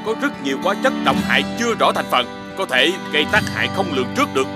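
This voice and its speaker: A responsible father dog in a cartoon, played by an actor, talking fast and instructively. The voice has a middle pitch and is firm but kindly, with loud music behind it. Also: very animated, close to an everyday voice. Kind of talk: cartoon voice acting.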